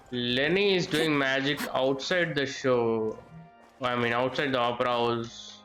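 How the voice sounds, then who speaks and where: A woman's voice: extremely low pitch, a bit bright. A woman streamer giving live gameplay commentary in short, puzzled reactions, often echoing what the game says. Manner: short, puzzled interjections, repeats game lines.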